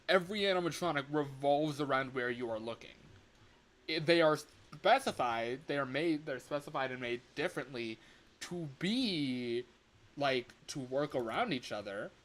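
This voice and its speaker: A man hosting a casual livestream, rambling in an animated way, exclaiming and often breaking off or repeating his words. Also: fairly high pitch, a little slow. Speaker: livestream host, game developer. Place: room at home.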